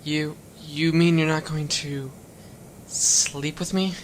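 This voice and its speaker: An actor playing a cold, wary young homeless man who speaks hesitantly and softly. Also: a little high, steady tone, slow, a little hiss or hum. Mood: fearful. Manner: hesitant, pleading, soft-spoken. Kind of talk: audio drama acting.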